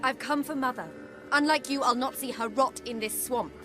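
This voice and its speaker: A woman's voice: high voice, normal speed, over music, natural voice. A young woman playing a devout, bitter, defiant daughter in a video game, with a firm, cutting delivery. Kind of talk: video game cutscene acting.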